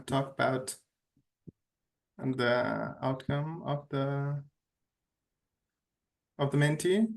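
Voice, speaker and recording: A man with a gravelly voice talks slowly and flatly as he goes through poll results on a video call. He stops often with fillers and starts his words again. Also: medium pitch, a little hiss or hum, poor audio. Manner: hesitant, many fillers and restarts. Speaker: workshop co-facilitator. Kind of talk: reading out online poll results.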